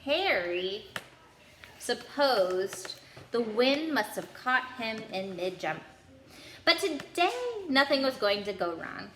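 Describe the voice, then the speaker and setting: A woman reading a children's story aloud in an expressive storytelling style. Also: a little high, slow pace. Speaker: story-time reader. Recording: echoey room with faint background noise.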